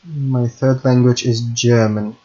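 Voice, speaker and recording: Guy slowly talking thru his coding, hesitant, keeps correcting himself, kinda dull lo-fi mic. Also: medium pitch, steady tone, mellow. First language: Russian. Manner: hesitant, self-correcting, apologetic. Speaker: hobby game programmer. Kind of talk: thinking aloud while screen recording.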